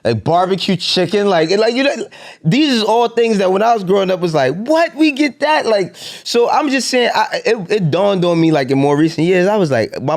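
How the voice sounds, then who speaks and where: A man in his thirties in casual chat, loose and swearing, often breaking into laughs. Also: fairly high pitch. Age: 30s.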